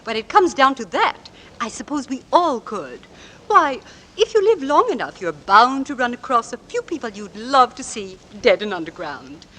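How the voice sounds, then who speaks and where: A prattling society matron, with a bright, sharp tone that swoops wildly up and down. She gushes with morbid delight. The sound is that of an old radio broadcast. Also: on the high side, big pitch swings, moderate pace. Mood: gushing. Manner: gushing, prattling, tactless. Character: chatty, morbid middle-aged society mother. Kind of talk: 1940s radio drama acting.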